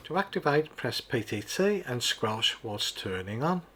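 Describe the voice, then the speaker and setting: A man's voice: medium pitch, very animated, a little slow, bright, forceful tone, slightly rough. A man narrating a scripted product demonstration in a methodical, step-by-step explaining manner. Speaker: product reviewer.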